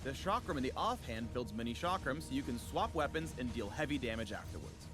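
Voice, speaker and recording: A man's voice: mid-range voice, lively intonation, a little fast, music in the background. A man reading scripted promotional narration for a video game guide.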